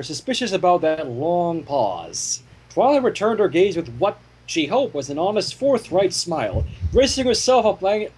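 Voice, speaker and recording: Animated high-voiced man with a husky edge. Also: poor audio. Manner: animated, stumbles and breaks off to comment. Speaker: fan fiction reader and narrator. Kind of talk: story reading with joking asides.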